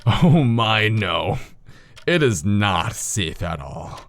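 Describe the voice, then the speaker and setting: An actor playing a grave, learned older doctor of the occult in an audio horror drama, speaking in a measured, formal way. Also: male voice, fairly low pitch, big pitch swings, fairly bright tone, no room sound, studio quality, full character voice.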